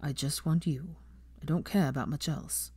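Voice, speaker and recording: A woman voicing a tender, determined, teasing young man in love, speaking softly and intimately. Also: fairly even intonation, normal speed, breathy edge, no echo. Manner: soft, low, intimate.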